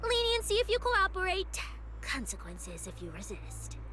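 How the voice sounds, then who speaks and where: A woman playing a playful, food-loving young police specialist in an animated game cutscene, with an expressive, mock-official manner. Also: extremely high pitch, normal speed, exaggerated voice. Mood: mock-stern. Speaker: video game voice actor. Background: background music.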